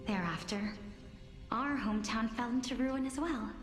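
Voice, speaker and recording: An actress voices a playful young woman storyteller from a video game. Her voice is high and theatrical, made for dark legends. It rings with long reverb, and music plays behind her. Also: normal speed, natural voice. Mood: grave, ominous. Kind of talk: video game voice acting, dramatic tale narration.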